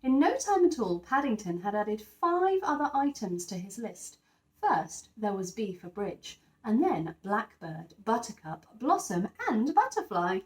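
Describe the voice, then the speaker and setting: A woman's voice: medium pitch, big pitch swings, a little slow, echoey room, poor audio. A woman narrating a picture book expressively for young children, stressing key words as she goes. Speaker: teacher reading a children's picture book aloud. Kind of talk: expressive scripted story narration.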